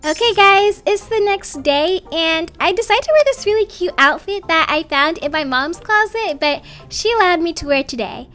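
A woman narrating an animated story as a video creator, chatty, girlish and excitable. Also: extremely high pitch, normal speed, hardly any pauses, faint music behind.

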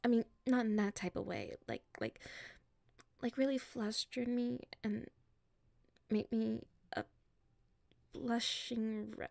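A woman playing a nervous popular schoolgirl with a secret crush in an ASMR roleplay, softly breathy and halting. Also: a little high, lively intonation, dry recording, studio quality, exaggerated voice. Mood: flustered, nervous. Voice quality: soft and breathy, often near a whisper. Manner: hesitant, halting, shyly pleading.

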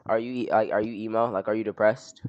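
A man's voice: lowish voice, level tone, quick pace, muffled recording. A young man chatting with strangers on a video site through a headset mic, blunt and teasing, with crude insults. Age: young adult. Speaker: streamer chatting with strangers online. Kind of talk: casual video chat commentary. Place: small living room indoors.

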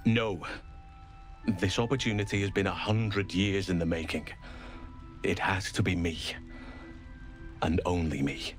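An actor playing a solemn, earnest old elven druid in a video game, speaking in a grave, measured, formal way. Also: male voice, deep voice, fairly even intonation, soft background music. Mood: resolute. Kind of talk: video game character acting.

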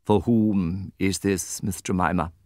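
A man's voice: deep voice, fairly even intonation, measured pace, darkish tone, no room sound, clean studio sound. A man voicing a stately, cold older headmistress in an audiobook, with pompous, formal, commanding speech. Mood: cold, severe. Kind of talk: audiobook character acting.

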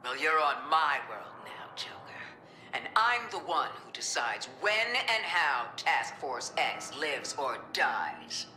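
A commanding woman director in a video game, stern and suspicious. Her voice is very forceful and cutting. She is blunt and impatient, heard in a roomy space with slight noise. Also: deep voice, big pitch swings, takes it slowly, exaggerated voice. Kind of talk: dramatic video game voice acting.

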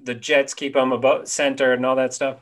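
A man's voice: medium pitch, level tone. Upbeat male podcast host on webcam. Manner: upbeat, friendly, quick quips. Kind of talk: casual podcast interview on a video call. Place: home study with bookshelves, indoors. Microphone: webcam on a video call.

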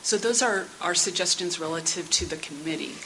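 A middle-aged woman giving prepared comments at a public council meeting in a measured, businesslike, formal but conversational way. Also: fairly low pitch, bright, forceful tone, rough recording. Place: indoor meeting room. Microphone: gooseneck table microphone. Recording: hissy meeting-room sound.

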